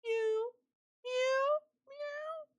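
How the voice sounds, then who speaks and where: Very high-pitched mewing cat. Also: male voice, heavily acted. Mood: merry. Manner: drawn-out, shrill mewing. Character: thirsty cat giving merry high meowing cries.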